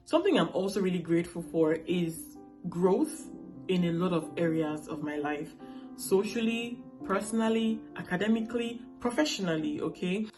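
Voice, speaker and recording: Chatty Nigerian woman in her late 20s. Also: on the low side. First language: Igbo. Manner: chatty, checks in with viewers. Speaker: vlogger. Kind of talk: casual talk to camera.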